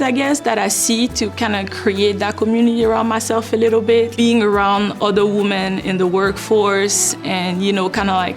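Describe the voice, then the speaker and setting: A young adult woman from Ivory Coast, a native French speaker with a West African French accent, talking reflectively in a documentary interview, often using fillers like "like" and "you know.". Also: mid-range voice. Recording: faint music behind.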